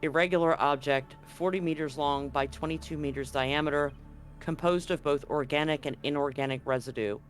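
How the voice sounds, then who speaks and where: A man's voice: fairly high pitch, steady tone, fairly quick, faint music behind. A man acting as game master, narrating a tabletop roleplaying game and reading handouts aloud.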